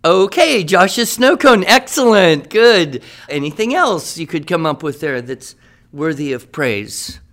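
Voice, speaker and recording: A man's voice: on the high side, sing-song, measured pace, fairly bright tone. Upbeat, encouraging male teacher. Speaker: writing teacher. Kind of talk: scripted classroom radio drama.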